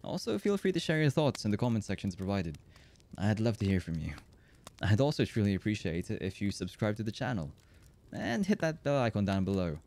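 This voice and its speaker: A man delivers a scripted outro for his channel. His voice is soft-edged and mellow. He is polite and brisk, rattling through the lines like a practised creator. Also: lowish voice, very animated, slight background noise. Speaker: video creator, voice actor.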